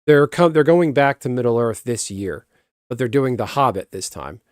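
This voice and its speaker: A man chatting casually on a stream, rambling and breaking off mid-sentence, answering his viewers in a calm, even voice. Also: medium pitch, normal speed, no room sound, studio quality. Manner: rambling, self-interrupting, chats with viewers. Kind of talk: casual live-stream chat.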